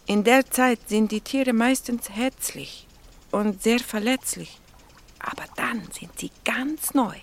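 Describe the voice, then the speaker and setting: An actress playing a gentle, earnest young female biologist with a Slovak accent, speaking softly and coaxingly in a radio drama. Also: a little high, slow pace. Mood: encouraging, earnest. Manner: soft, coaxing, breaks into whispers. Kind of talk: naturalistic radio drama dialogue.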